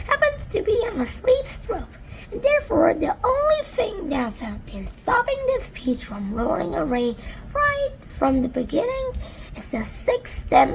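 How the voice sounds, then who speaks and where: A woman voicing a kind, gentle female ladybird in a storybook reading, speaking earnestly in a raised, high voice. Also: creaky, slight background noise, full character voice, poor audio. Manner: earnest, explanatory, rising high in pitch.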